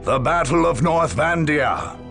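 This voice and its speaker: An actor playing a grave chronicler of war, giving portentous scripted narration for a video game. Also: male voice, on the high side, creaky, fairly bright tone. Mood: grave, ominous. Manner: portentous, measured storytelling. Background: music.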